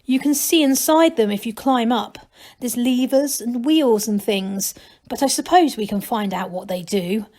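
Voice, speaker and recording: Storybook gnome lad, earnest and proud, emphatic, fairly high voice woman reader acting him. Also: animated, unhurried, a little mellow. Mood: earnest, persuasive. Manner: emphatic, plunging on. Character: eager young male gnome leader, earnest and proud.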